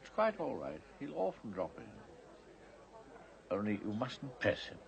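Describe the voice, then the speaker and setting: An actor playing a kindly, wise older figure in film dialogue, speaking in a soothing, unhurried way. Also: male voice, fairly low pitch, huge pitch swings, gravelly, exaggerated voice, low-quality recording. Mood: gentle, reassuring.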